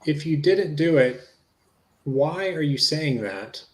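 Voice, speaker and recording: A man reading the part of a polite, low-key federal agent in a dramatic reading of a witness interview. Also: expressive, takes it slowly. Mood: curious, polite. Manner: low-key, matter-of-fact.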